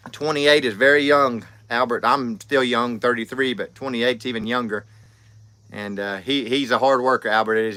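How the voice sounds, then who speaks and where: A man's voice: medium pitch, sharp tone, dry recording. Folksy thirty-something man chatting on a livestream. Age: 30s. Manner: rambling, friendly, folksy with many fillers and restarts. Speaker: livestream host. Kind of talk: casual livestream chat reading comments.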